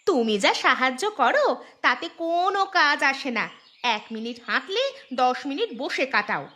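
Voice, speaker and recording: A woman's voice: sits high, big pitch swings, normal speed, full character voice. A woman voicing a shrewish, nagging cartoon daughter-in-law with a sarcastic, scolding manner. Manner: nagging, sharp-tongued, sarcastic. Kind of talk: Bengali cartoon voice acting.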